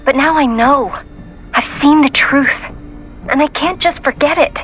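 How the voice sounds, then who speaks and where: An actress playing a young, loyal, idealistic mission support operator in a video game, hesitant yet heartfelt. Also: female voice, high voice, unhurried, fairly bright tone, soft background music. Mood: pained, determined.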